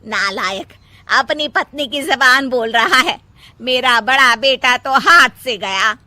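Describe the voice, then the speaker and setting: Woman playing a shrill nagging older mother-in-law. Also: slow, pushed and bright, dry recording, full character voice. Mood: angry. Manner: scolding, dramatic wailing and complaining. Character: nagging, melodramatic older mother-in-law obsessed with having a grandson. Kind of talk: Hindi moral story cartoon voice acting.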